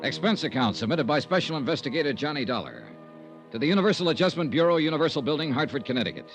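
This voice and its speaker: An actor playing a wry, unflappable insurance investigator in old-time radio detective style, dry and laconic. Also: male voice, fairly high pitch, animated, fast talker, over music. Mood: brisk, matter-of-fact. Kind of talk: old-time radio detective acting, first-person narration. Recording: muffled vintage radio recording.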